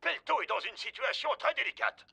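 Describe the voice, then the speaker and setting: Very high, creaky rescue vehicle. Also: male voice, expressive, brisk, pushed and bright, a little hiss or hum, heavily acted. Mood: worried, urgent. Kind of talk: French children's cartoon dubbing.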